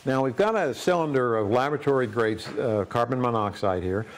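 Plain-spoken man reviewing gadgets. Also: medium pitch. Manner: brisk, plain-spoken, dry humor. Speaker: aviation product reviewer. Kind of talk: scripted product review. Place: indoor workshop studio.

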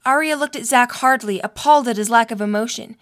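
A woman's voice: fairly high pitch, fast talker. A young adult woman reading scripted story narration for an audio drama.

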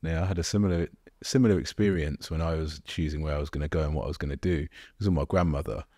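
Relaxed man in his thirties, telling a story. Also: rumbling low, rapid-fire, no room sound, clean studio sound. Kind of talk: personal anecdote in conversation. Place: bright studio room. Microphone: boom-mounted podcast mic.

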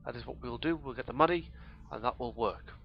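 A hoarse man, casually commentating a strategy game in a rambling, mock-grand way. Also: mid-range voice, brisk, muffled recording, a little hiss or hum. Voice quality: rambling, self-correcting, mock-grand phrasing. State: hoarse, losing his voice. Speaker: let's play gamer.

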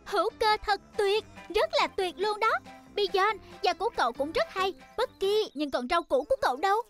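A tiny, very high-voiced cartoon fox girl in a dub. She sounds sweet, cheerful and sensible. Soft music runs underneath. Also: moderate pace. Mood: admiring. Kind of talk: animated children's cartoon dub.

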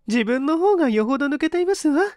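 A man voicing a polite, gentle young lady in an audiobook story, with formal manners. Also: very high-pitched, dry recording, clean studio sound, pitched above the performer's own voice, full character voice. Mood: amused.

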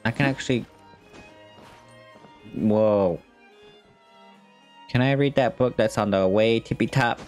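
A man's voice: on the low side, takes it slowly, long pauses, a little mellow. A young man doing a casual game playthrough, reacting and reading on-screen text aloud as a streamer. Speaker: gaming streamer. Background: faint game music.

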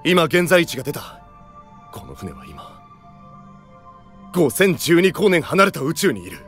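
A man's voice: fairly high pitch, huge pitch swings, a little slow, faint music behind. A man playing a cool, serious, analytical space cadet who talks bluntly and logically in a voice drama. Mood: grave. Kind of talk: Japanese voice drama acting.

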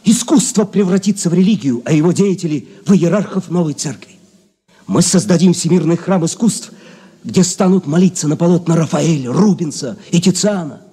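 An actor playing a zealous, prickly anarchist propagandist in a radio play, insistent and grandiose. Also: male voice, on the high side, lively intonation, normal speed, warm tone, slightly rough. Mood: fervent, visionary. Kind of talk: radio drama acting.

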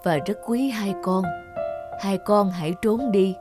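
A woman voicing a kind, fatherly old teacher in a cartoon, speaking gently. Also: medium pitch, a little slow, over music, natural voice. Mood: tender.

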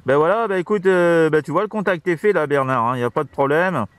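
A man's voice: a little high, fairly quick, dry recording. A man chatting casually as a CB radio operator, hesitant and repetitive, with constant tag words. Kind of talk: casual radio contact chat. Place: outdoors, crouched in grassy scrubland.

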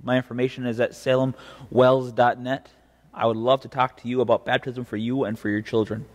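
A young American man speaks as a pastor giving a devotion. His delivery is earnest and measured, with deliberate phrasing and a mid-pitched voice. The recording carries the long reverb of a church interior. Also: level tone. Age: young adult. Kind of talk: devotional preaching with scripture reading. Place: indoor church chancel. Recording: reverberant church, mic at a distance.

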